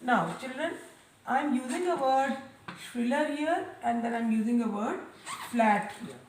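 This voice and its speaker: A woman teaching a science lesson to children, speaking loudly and deliberately and repeating key terms. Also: on the high side. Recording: echoey room, voice a bit far from mic, faint noise.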